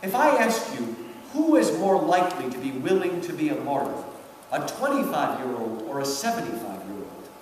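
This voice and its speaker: High-pitched, animated man lecturing in an echoey hall. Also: normal speed. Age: 50s. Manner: emphatic, rhetorical questions, checks with listeners. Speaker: lecturer, professor. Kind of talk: animated public lecture commentary. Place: large indoor hall. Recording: echoey hall, distant mic, background noise.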